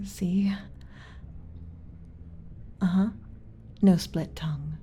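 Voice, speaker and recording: A woman playing a gentle, submissive alien servant in an ASMR roleplay, speaking softly and breathily with hesitant, deferential pauses and some whispering. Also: on the low side, a little hiss or hum. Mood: explaining, hushed. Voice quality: soft, breathy with whispered stretches. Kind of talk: ASMR roleplay, soft intimate delivery.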